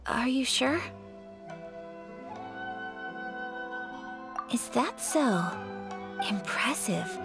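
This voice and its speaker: A woman playing a gracious, modest young noblewoman in an anime video game, speaking in a formal, measured, polite manner. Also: high voice, big pitch swings, gravelly, music in the background, heavily acted. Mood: thoughtful.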